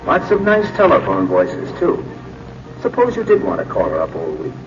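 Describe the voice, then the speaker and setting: A man's voice: fairly low pitch, huge pitch swings, normal speed, over music. An actor playing an earnest, shy, easily wounded businessman in a 1940s radio comedy. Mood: brooding. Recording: noisy, dull 1940s radio recording.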